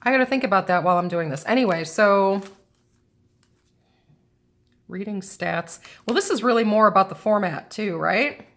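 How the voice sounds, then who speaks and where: A woman's voice: medium pitch, animated, moderate pace, pauses often, a bit bright. A woman with a stuffy, sinusy voice chatting casually while setting up a planner, thinking aloud as she goes. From American Midwest. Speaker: planner and journal crafter. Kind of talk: casual crafting chat. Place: indoors at a craft table. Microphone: camera over the table.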